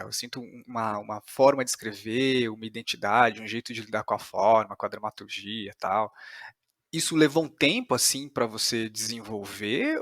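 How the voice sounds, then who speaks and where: A man's voice: mid-range voice, fairly bright tone, no room sound. Brazilian man interviewing, close dry sound. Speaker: podcast interviewer. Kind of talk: casual interview conversation.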